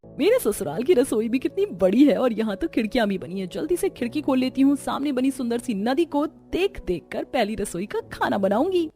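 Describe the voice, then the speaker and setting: A woman's voice: on the high side, very animated, brisk, mellow, soft background music. A woman voicing a haughty, spiteful young woman in a cartoon story, with a sneering, scolding manner. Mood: pleased. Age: young adult. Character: haughty, spiteful fair-skinned young sister. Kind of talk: Hindi cartoon story acting.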